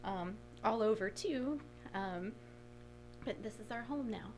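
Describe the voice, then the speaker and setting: A woman's voice: mid-range voice, takes it slowly, a little hiss or hum, low-quality recording. A woman from rural Ohio, a bakery owner, talking casually and matter-of-factly as an interview guest.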